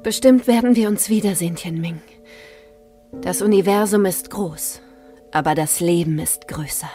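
A woman's voice: lowish voice, moderate pace, mellow, natural voice. An actress playing an earnest, polite, emotional woman in a science fiction radio play. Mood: tender. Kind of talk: radio drama acting. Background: music.

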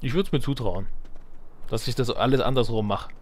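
A man's voice: medium pitch, normal speed. A man giving casual let's play commentary, grumbling and talking to the game's characters. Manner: grumbling, talks to game characters.

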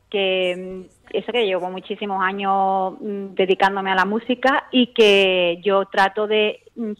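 A woman's voice: mid-range voice, a bit bright, rough recording. Hesitant Andalusian woman singer. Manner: hesitant, many fillers and restarts. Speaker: singer, interview guest. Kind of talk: casual phone-in interview chat.

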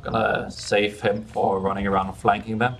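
A man in his thirties talks through his gameplay in a casual way. His voice sits on the low side. He is on a headset in a small home room, with noticeable noise and typing behind him. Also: flat delivery, moderate pace, fairly bright tone. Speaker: let's play host. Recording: clear background noise, keyboard typing.

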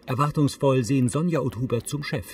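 A man's voice: medium pitch, fairly quick, a little hiss or hum. A man narrating on-screen action as audio description, in a neutral, matter-of-fact scripted style.

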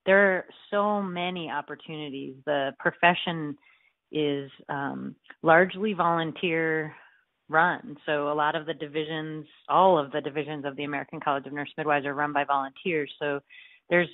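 A woman's voice: deep voice, fairly even intonation, normal speed, no room sound. A woman nurse-midwife faculty member speaking earnestly in a webinar over a video call. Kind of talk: webinar talk. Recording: phone-like video call audio.

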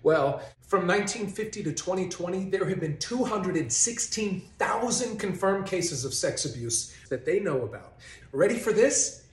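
A man in his forties hosting a faith video, giving sarcastic, mock-incredulous commentary straight to camera in a bright living room. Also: high-pitched, lively intonation, moderate pace. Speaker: host of a faith video series. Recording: roomy echo in a home.

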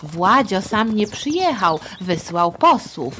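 A woman hosting a children's history podcast, telling the story in a playful, chatty, expressive manner. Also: mid-range voice, slow pace, slight background noise.

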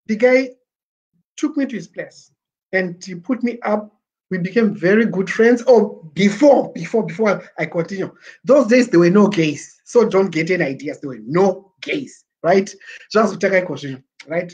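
A Zimbabwean man in his forties talking on a webcam livestream, animated and gesturing, slipping Shona words into his English. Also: high-pitched, slightly rough, poor audio. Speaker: livestream presenter. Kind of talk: casual livestream pitch. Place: small plain room indoors. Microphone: webcam at desk.

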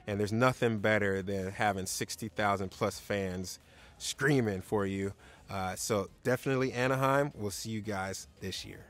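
A man in his thirties, a monster truck driver, answering energetically and confidently in an interview in a dark studio. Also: fairly low pitch, normal speed.

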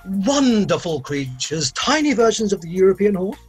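A man's voice: high-pitched, very animated, moderate pace, soft background music. An older actor playing an eccentric male inventor who rambles and gushes, speaking over a video call. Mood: gushing. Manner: rambling, gushing, sing-song emphasis. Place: home room, video call.

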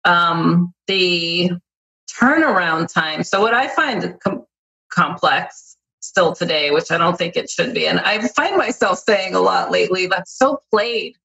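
Host type: woman, thirties, husky, lowish voice, thoughtful and meandering. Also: animated, unhurried, bright, forceful tone, low-quality recording. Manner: thoughtful, rambling with restarts and "like". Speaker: podcast host. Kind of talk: casual interview chat. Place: indoor room at home. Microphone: headphones on video call.

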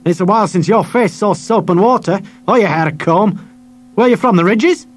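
A man voicing a nasty, bullying male chip-shop owner who shouts and sneers. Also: high voice, normal speed, voice pushed up. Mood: sneering.

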